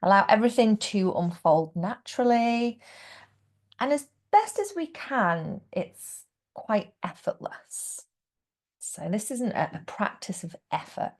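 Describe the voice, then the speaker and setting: A woman guiding a relaxation meditation as a yoga nidra teacher, speaking gently and without hurry, with phrases that trail into a hush. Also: medium pitch, big pitch swings. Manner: gentle, unhurried, hushed phrase endings. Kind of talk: guided meditation.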